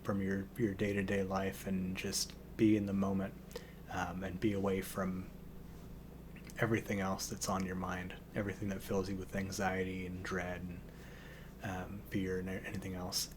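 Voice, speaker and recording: A man with a slightly hoarse voice, hosting a relaxed poetry reading and hesitating often with ums and restarts. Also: sits low, moderate pace, noisy background. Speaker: poetry channel host. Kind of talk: casual intro and poetry reading.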